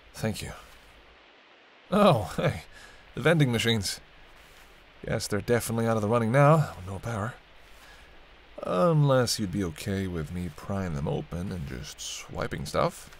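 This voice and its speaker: A man's voice: lowish voice, full character voice. A man playing a wry, teasing vampire. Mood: wry, persuasive, then playful, sardonic. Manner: bantering, wry, murmured asides. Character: teasing, sardonic male vampire, centuries-weary but protective. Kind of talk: intimate roleplay audio drama, addressing the listener.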